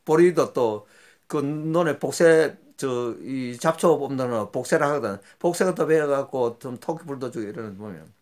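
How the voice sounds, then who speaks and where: An older man tells rambling, folksy stories from his rural youth as host of his own channel. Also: a little mellow. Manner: rambling, folksy, with sudden jumps in pitch. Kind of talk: casual storytelling monologue.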